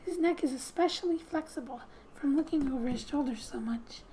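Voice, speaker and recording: A woman speaking softly and breathily, often near a whisper, as she gently reads game text aloud for an ASMR gaming video. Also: high voice, fairly quick, a little hiss or hum.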